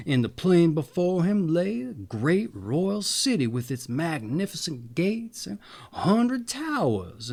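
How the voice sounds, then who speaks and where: A man narrating a fairy tale in an expressive, deliberate storytelling manner. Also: on the high side, vocal fry.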